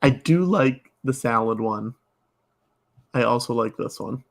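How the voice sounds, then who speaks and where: A man's voice: medium pitch, animated, unhurried, creaky. Male podcast guest, mid-range voice, conversational, tends to repeat phrases. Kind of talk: casual chat.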